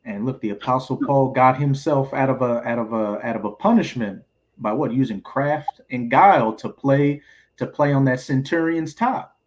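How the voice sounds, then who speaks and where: A man's voice: medium pitch, normal speed. Man teaching Bible in casual street talk. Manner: colloquial, streetwise phrasing. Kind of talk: informal group Bible teaching.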